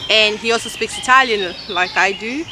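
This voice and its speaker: A woman in her thirties from Nigeria with a Nigerian English accent, talking casually to camera in a halting way and often correcting herself. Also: mid-range voice. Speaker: vlogger. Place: outdoors in a park. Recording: noisy outdoor camera recording.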